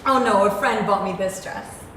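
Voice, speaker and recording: A woman's voice: mid-range voice, moderate pace, a bit bright, natural voice. A woman acting in a stage play as a polite, evasive girlfriend of about thirty. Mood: politely evasive. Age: 30s. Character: polite, evasive thirty-year-old girlfriend of wealthy man. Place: theatre stage. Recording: echoey theatre hall, noisy.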